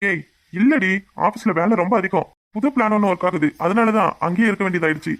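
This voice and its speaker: A man's voice: high-pitched, quick pace, fairly bright tone, no echo. A man playing an affectionate, teasing young husband in a domestic drama, coaxing, familiar and apologetic. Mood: exasperated, affectionate. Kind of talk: domestic serial drama acting.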